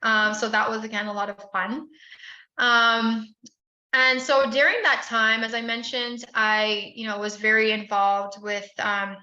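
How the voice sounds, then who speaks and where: A woman's voice: a little high, flat delivery, pushed and bright, roomy sound, highs cut off. A woman from the Bahamas in her thirties, telling her career story as an interview guest with frequent "um" fillers. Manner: frequent "um" fillers, friendly and measured. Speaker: podcast guest.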